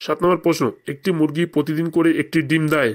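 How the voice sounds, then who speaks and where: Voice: medium pitch, little pitch movement, fast, close to an everyday voice. A performer voicing a stern, sarcastic male headmaster in a comedy cartoon. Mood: stern, questioning. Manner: sarcastic, scolding interrogator.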